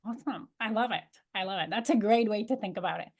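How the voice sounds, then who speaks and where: A woman's voice: medium pitch, fast. Upbeat woman, native Polish speaker. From Poland. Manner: upbeat, enthusiastic questioning. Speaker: interviewer, host. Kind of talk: casual interview chat.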